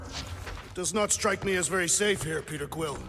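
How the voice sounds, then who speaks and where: An actor playing a stern alien warrior in a video game, speaking in a formal, solemn, blunt way. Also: male voice, sits high, moderate pace, noisy background. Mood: solemn, wary. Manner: formal, solemn, blunt phrasing without contractions. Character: stern, literal-minded male alien warrior. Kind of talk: video game cutscene voice acting.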